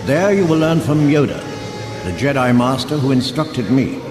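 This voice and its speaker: An actor playing a solemn old mentor, a ghostly guiding voice echoing in a storybook read-along. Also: male voice, medium pitch, animated, moderate pace, natural voice. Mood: solemn, urging. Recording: ghostly hall echo, music behind.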